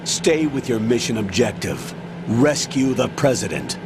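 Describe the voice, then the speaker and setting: A man's voice: mid-range voice, very animated, a little slow, background noise. An actor playing a commanding male colonel giving curt orders over the radio in a video game. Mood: stern. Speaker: mission commander. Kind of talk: video game cutscene voice acting.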